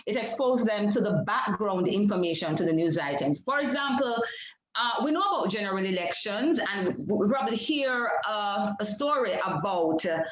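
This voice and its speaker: A Jamaican woman whose first language is Jamaican Creole, presenting her research over a video call in a measured, explanatory way, with frequent fillers and self-corrections. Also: mid-range voice, lively intonation, reverberant, lo-fi sound. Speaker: PhD candidate presenting research. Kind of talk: academic seminar presentation.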